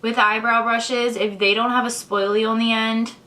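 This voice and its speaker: A young woman, hoarse and congested, chatting casually while she explains each step of her makeup tutorial. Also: a little high, flat delivery, moderate pace, bright, forceful tone, slight background noise. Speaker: beauty vlogger. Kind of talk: chatty get-ready-with-me tutorial.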